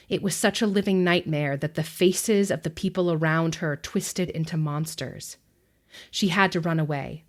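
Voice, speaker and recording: A woman narrating a scripted film essay, with measured, deliberate phrasing and emphatic pauses. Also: on the low side.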